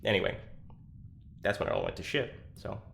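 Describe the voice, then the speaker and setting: A troubled young man confides in an audio drama monologue. His voice sits fairly low and he speaks haltingly, doubting himself with dry sarcasm. A light bed of ambient noise runs behind him. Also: wildly swooping pitch, gravelly, a bit bright, full character voice. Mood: bitter, sardonic.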